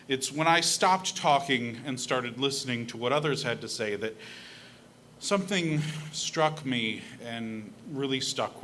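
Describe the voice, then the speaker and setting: A young man with a bright, forceful voice gives a personal reflection at a church service. He talks in an earnest, conversational way with the odd filler, into a pulpit microphone in a church sanctuary with faint room noise behind him. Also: medium pitch. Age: young adult. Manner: earnest, conversational, with fillers and a self-deprecating laugh. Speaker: lay speaker at a church service.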